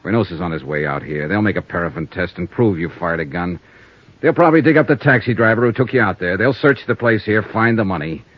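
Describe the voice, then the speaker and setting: A wry hard-boiled insurance sleuth. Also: male voice, sits low, animated, quick pace. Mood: probing. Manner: cool, probing questioning with a world-weary edge. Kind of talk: 1950s radio drama acting and first-person narration. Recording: muffled 1950s radio transcription.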